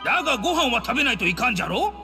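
A man's voice: extremely high pitch, very animated, very fast, bright, forceful tone, big-room sound, soft background music, heavily acted. A man playing a shameless, pleading old man with wheedling, elderly phrasing in an anime game.

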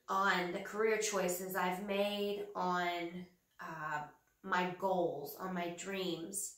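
A woman's voice: mid-range voice, a bit bright. A woman in her thirties, a little nasal from a cold, talking earnestly and hesitantly to the camera from a small home office. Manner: earnest, slow and hesitant with many fillers. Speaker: vlogger. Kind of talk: casual talk to camera. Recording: roomy, slightly distant camera audio.

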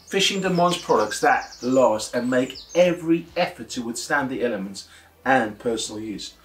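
A man in his forties with a mid-pitched voice presents a product to camera. He explains in a scripted review style, asking questions and answering them himself. The sound is roomy, with noticeable echo from an indoor workshop studio.

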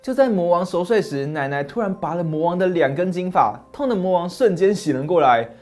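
A man's voice: high-pitched, expressive, a little fast, a little mellow. Man narrating a fairy tale over faint music. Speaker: storyteller host. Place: dim study set, indoors. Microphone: lapel mic.

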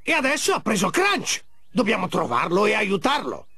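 Flustered, wildly swooping magic mask. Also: male voice, high voice, wildly swooping pitch, moderate pace, creaky, sharp tone, slight background noise, full character voice. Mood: pleading. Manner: hesitant, flustered. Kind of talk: Italian cartoon dub for a video game.

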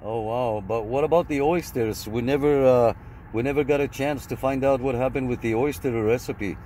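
A man's voice: mid-range voice, normal speed, dark tone. A man making casual, courteous CB radio calls from inside a vehicle, often repeating his phrases. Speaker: CB radio operator. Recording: noisy vehicle cabin, radio static behind.

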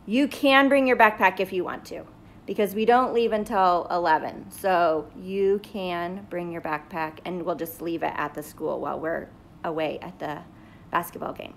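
A woman's voice: lowish voice, normal speed, gravelly, slight background noise, poor audio. A middle-aged woman teacher talking casually and directly to young viewers during a read-aloud, recorded on a phone in a living room. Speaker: school teacher. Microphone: phone at arm's length.

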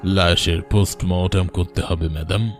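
A man's voice: sits low, steady tone, moderate pace, faint music behind, lightly acted. A man voicing a hospital doctor in a cartoon, firm, formal and matter-of-fact. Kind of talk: cartoon voice acting.

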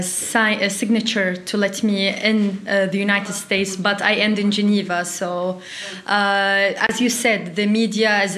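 A woman in her twenties with a Middle Eastern accent, giving earnest, slightly halting answers as an interview guest. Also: medium pitch, fairly bright tone. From Iraq, later Syria and Turkey. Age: 20s. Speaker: interview guest, refugee youth delegate. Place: small indoor interview set. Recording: roomy, echoey sound.

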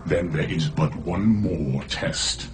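A man playing a masked dark lord in a video game, commanding and menacing, speaking in a solemn, imperious way. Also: extremely low pitch, slow pace, background noise. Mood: stern, commanding. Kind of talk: cinematic video game acting.

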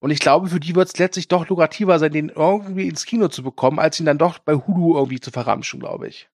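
A man's voice: on the high side, fast talker, a little mellow, dry recording, clean studio sound. A man co-hosting a casual film news podcast, talking with frequent hesitations and filler sounds. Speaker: podcast co-host.

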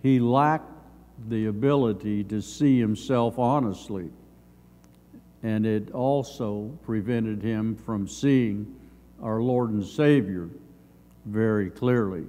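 An older man in his seventies giving a Sunday school Bible lesson, reading from notes in measured, halting short phrases. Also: fairly low pitch, dark tone. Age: older, around 70s. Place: church sanctuary, at a lectern. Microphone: gooseneck lectern microphone. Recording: long hall reverb.

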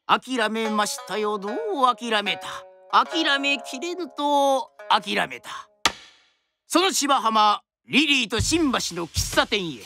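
A woman's voice: on the high side, pushed and bright, heavily acted. A woman playing a storyteller narrating a period radio drama in traditional Japanese style.

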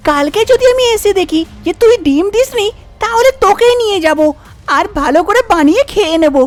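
A woman's voice: very high-pitched, animated, a little fast, dry recording, pitched above the performer's own voice. A woman voicing an anxious young wife in a cartoon story, exclaiming and pleading. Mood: bossy scolding.

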